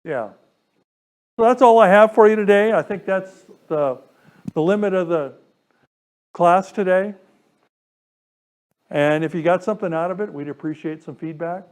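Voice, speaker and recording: A man's voice: sits high, normal speed, long pauses, a little mellow. A man presenting an informal lecture in a conversational way, often checking in with his listeners. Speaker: class presenter. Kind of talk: informal lecture to a radio club.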